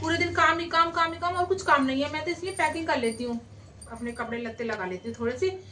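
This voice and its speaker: A woman's voice: very high voice, fairly even intonation, fast, bright, forceful tone. A woman playing a grumbling housewife fed up with winter chores in an amateur comedy skit, complaining and nagging repetitively. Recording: echoey room, distant mic, faint noise.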